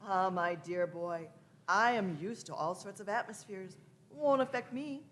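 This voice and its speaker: A woman's voice: medium pitch, a little slow, a bit bright, a little hiss or hum, natural voice. Woman playing a testy old male time traveller. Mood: insistent. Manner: old-fashioned, fussy, scolding phrasing. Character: elderly male time traveller, testy, grandfatherly, self-assured. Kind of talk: live radio play performance.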